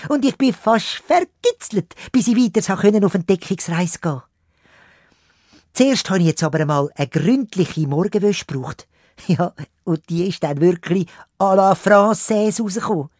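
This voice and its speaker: A woman voicing a cheerful, excitable little male bird in a children's audio play, speaking with a Swiss German accent and giggling often. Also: mid-range voice, dry recording, clean studio sound. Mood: recounting.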